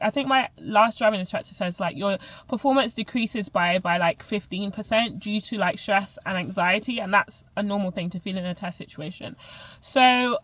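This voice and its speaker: A woman's voice: medium pitch, a bit bright, dry recording, poor audio. A young woman from Barbados with a Barbadian accent, chatting casually to camera as a vlogger, full of "like" fillers and self-corrections.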